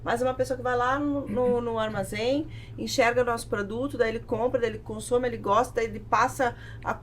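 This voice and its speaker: A woman's voice: on the high side, fairly even intonation, fairly quick, a little hiss or hum. A Brazilian woman talking casually as a business guest on a podcast. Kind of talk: casual interview talk.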